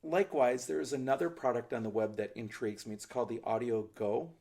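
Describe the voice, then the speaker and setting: A man's voice: medium pitch, steady tone, fast talker, vocal fry, darkish tone. Middle-aged man explaining ukulele gear. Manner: thoughtful, hedging, explanatory. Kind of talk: product review demonstration. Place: small indoor studio with a plain backdrop.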